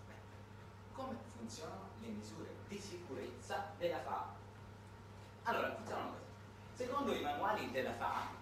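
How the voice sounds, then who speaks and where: Echoey, animated male stage monologist. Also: mid-range voice, recorded from a distance. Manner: emphatic, dramatic pauses and repetitions. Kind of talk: theatrical narrative monologue, conversational storytelling. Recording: echoey, noisy room recording from afar.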